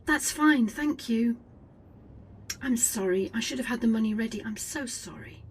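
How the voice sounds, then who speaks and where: A woman's voice: a little high, normal speed, slight background noise, close to an everyday voice. An actress playing a young woman who confides her plans for revenge in an intimate, wry, self-mocking audio drama monologue. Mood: polite.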